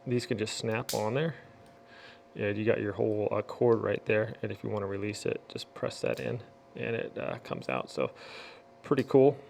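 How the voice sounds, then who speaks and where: A man's voice: medium pitch, gravelly, a little mellow, slight background noise. A man hosting a casual product unboxing, chatting in a folksy way and muttering to himself as he works. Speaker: unboxing video host.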